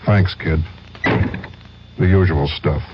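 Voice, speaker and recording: Actor as a low, terse hardboiled investigator. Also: male voice, steady tone, drawn-out words, heavy background noise, full character voice. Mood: deadpan, terse. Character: terse hardboiled male investigator narrating his case. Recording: dull radio broadcast.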